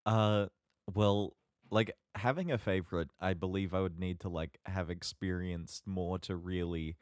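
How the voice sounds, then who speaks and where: A man co-hosting a podcast, chatting casually in a halting, wordy, measured way. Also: low voice, steady tone, a little mellow, no room sound, clean studio sound. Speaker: podcast co-host.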